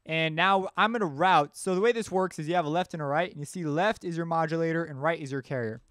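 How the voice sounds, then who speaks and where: Male tutorial host, slightly high, clean voice, informal think-aloud delivery. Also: fast talker, fairly bright tone, dry recording, clean studio sound. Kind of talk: casual software tutorial narration. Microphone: close mic screencast voice-over.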